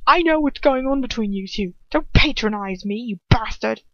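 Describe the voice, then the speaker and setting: Woman acting a hot-headed young man, shouting outbursts. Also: on the high side, lively intonation, normal speed, no echo. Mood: hateful rage. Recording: slightly dull, lo-fi home recording.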